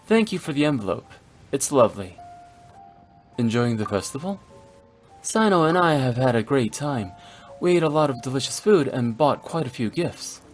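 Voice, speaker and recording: A man's voice: medium pitch, lightly acted. An actor playing a polite, sensible young fox-eared man in a video game, in an articulate conversational manner. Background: music.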